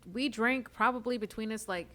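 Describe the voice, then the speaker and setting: A woman's voice: fairly high pitch, a little fast, fairly bright tone. A young woman co-hosting a podcast, chatting candidly and telling stories with free swearing. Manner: candid, storytelling, swears freely. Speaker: podcast co-host. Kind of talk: casual chat.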